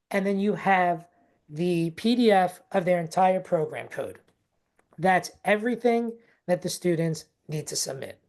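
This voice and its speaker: A woman's voice: medium pitch, dark tone. Chatty woman leading a video-call workshop. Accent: not native to Texas, unnamed regional accent. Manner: chatty, fills with "okay?" and "right?". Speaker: teacher trainer. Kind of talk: live online teacher workshop.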